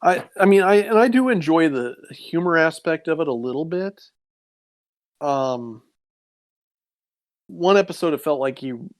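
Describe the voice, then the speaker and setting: A man chatting casually as a podcast co-host, giving his opinion. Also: medium pitch. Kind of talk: casual chat.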